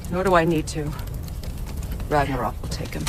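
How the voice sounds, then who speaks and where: A man's voice: a little high, normal speed, very noisy, close to an everyday voice. A man playing a grim, imposing, fatalistic ancient being in a video game cutscene, speaking in a solemn, terse and formal way. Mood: grim, resolute.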